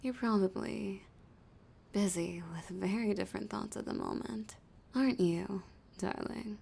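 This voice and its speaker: Woman acting a slow, husky, seductive villainess. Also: mid-range voice, mellow, full character voice. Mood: teasing, seductive. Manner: slow, teasing, often dropping into whispers. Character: flirty, dominant, teasing female villain holding a hostage. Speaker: audio roleplay voice actor. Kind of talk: intimate audio roleplay acting.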